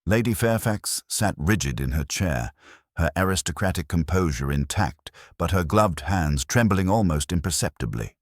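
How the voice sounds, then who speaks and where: A man reading a scripted mystery story as narrator, in a measured, even-paced way. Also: deep voice, no room sound, clean studio sound.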